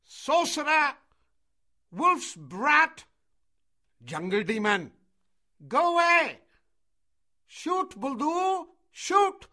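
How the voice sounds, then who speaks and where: A man acting shrill, hostile villagers shouting. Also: sing-song, very slow, pauses often, full character voice. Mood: panicked, accusing. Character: hostile, superstitious village mob and priest, shouting accusations.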